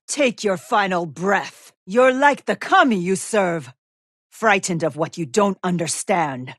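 A woman's voice: mid-range voice, dry recording, clean studio sound. An actress playing a vengeful demon witch and wronged mother in a video game, menacing and theatrical. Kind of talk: dramatic video game villain acting.

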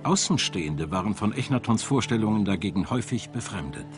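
A man's voice: fairly low pitch, expressive, fairly quick. A man reading scripted documentary narration in German voice-over style. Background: background music.

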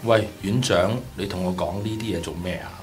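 A man with a Hong Kong Cantonese accent playing a stingy, sharp-tongued middle-aged boss in a radio drama. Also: low voice, fairly even intonation, a little fast, lightly acted. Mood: irritated. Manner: brusque, dismissive, stammers when frightened. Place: small padded radio studio. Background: music.

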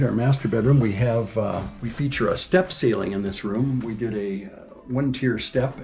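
A man's voice: medium pitch, mellow. A man working as a home builder explains a house on a tour in a matter-of-fact, informative way. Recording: roomy indoor echo with music behind. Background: background music.

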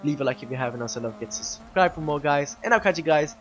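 A young man talks casually to his viewers, his voice hoarse and rough from a sore throat. He rambles with plenty of fillers and keeps calling out to "guys". The recording is noisy, with the roar of a racing game underneath. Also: fairly high pitch, expressive, rapid-fire, a bit bright. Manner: chatty, many fillers, keeps saying "guys". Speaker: YouTube gaming channel host. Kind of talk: casual vlog update over game footage.

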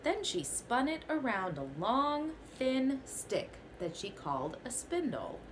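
Expressive young woman reading a picture book. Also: sits high, takes it slowly, a little hiss or hum. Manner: expressive storytelling, first person as the goat. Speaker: teacher. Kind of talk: children's picture book read-aloud. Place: small bedroom, indoors.

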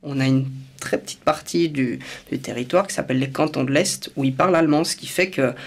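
A man's voice: mid-range voice, fairly quick. A man in his twenties from Belgium talking informally to camera about himself. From Brussels, Belgium. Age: 20s. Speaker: actor presenting himself. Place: indoor studio.

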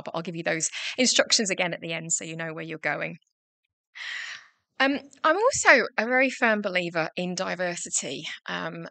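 A middle-aged woman opening a conference panel from a lectern, giving a prepared welcome speech in a steady, formal way. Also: on the low side, no echo. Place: conference stage indoors. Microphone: lectern microphone.

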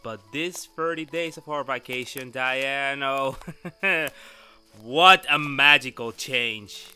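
Man as a bright, teasing radio-drama husband. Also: medium pitch, slow, soft background music. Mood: romantic. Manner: florid, teasing, theatrical. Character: playful, adoring husband, male astronomy professor. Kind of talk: old-time radio drama acting.

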